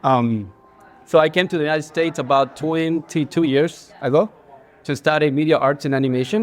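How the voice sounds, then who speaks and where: A man in his forties from Ecuador with an Ecuadorian Spanish accent, speaking as a guest in a casual stage interview, earnest, with many fillers and restarts. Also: medium pitch. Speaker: guest, creative director. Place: bar, live audience.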